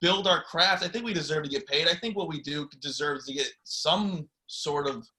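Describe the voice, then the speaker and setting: A man's voice: on the high side, normal speed, pushed and bright. A man in casual podcast banter, goofy and teasing. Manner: goofy, teasing, laughs a lot. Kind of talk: casual chat.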